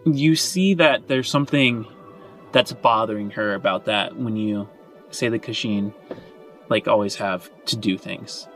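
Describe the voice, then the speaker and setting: A man's voice: fairly low pitch, a little slow, fairly bright tone. A man narrating a tabletop role-playing game as its game master. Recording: muffled band-limited audio under music.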